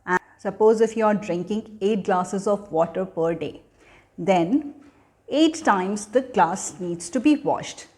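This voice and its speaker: A woman giving an educational talk for children, speaking in a deliberate, teacherly manner as a storyteller and host. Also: mid-range voice, darkish tone. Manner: teacherly, deliberate, asking questions then answering them.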